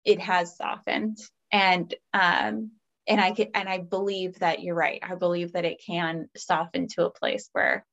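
A woman's voice: lowish voice, little pitch movement, unhurried, vocal fry, highs cut off. A woman hosting a podcast interview, chatting casually and affirming her guest often. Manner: warm, affirming, frequent agreeing murmurs. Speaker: podcast host.

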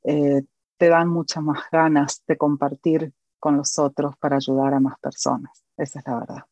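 Earnest Argentine woman, slow and reflective. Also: deep voice, little pitch movement, a little mellow, dry recording, highs cut off. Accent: Argentine Spanish. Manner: earnest, reflective, with many pauses and fillers. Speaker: guest, educator and author. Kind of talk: interview.

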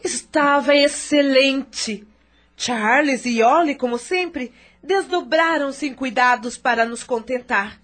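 A woman's voice: high-pitched, slow, fairly bright tone, exaggerated voice. An actress playing a wealthy, firm mother in a radio drama, speaking with stern incredulity. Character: wealthy middle-aged mother, stern but indulgent.

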